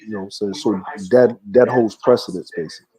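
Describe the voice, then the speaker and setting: A man's voice: on the low side, a little fast, a crowd in the background, low-quality recording. A man telling a family story in casual livestream chat, rambling with many fillers and restarts.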